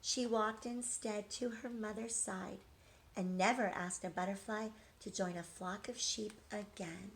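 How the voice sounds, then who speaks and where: Middle-aged female teacher, picture-book read-aloud, very measured pace, expressive, faint room noise. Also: mid-range voice, rough recording. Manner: expressive, pausing to ask listeners questions. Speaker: teacher reading aloud to children. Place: living room couch at home.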